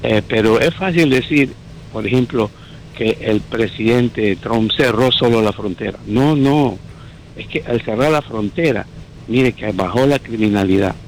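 A man's voice: mid-range voice, measured pace, a little hiss or hum. Hesitant male caller on a phone line. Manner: hesitant, many fillers and restarts. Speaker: radio caller. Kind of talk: call-in opinion.

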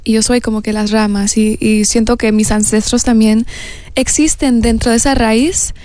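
A young woman from the United States, a singer guest on a radio show, speaking softly and reflectively in Spanish with a hard-to-place Latin American accent and frequent restarts. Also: on the high side, fairly even intonation, moderate pace, no room sound. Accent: mixed Latin American Spanish. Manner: soft-spoken, reflective, hesitant restarts. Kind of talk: radio interview.

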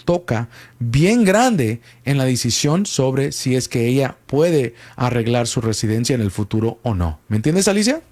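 A man's voice: medium pitch, a little mellow. A man hosting a radio call-in show as an immigration lawyer. He explains patiently, asks many questions and often restarts words. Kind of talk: radio call-in legal advice.

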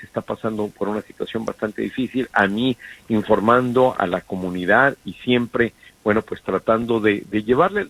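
A middle-aged man from Mexico, co-hosting a radio talk show, speaks warmly and earnestly, rambling a little with frequent fillers. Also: lowish voice, no room sound, poor audio. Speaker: radio co-host and reporter. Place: radio studio. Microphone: studio microphone.

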